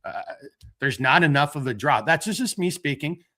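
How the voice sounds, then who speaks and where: A man hosting a casual late-night talk show, rambling and animated, stopping to tell dad jokes. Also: a little high, moderate pace. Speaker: podcast host.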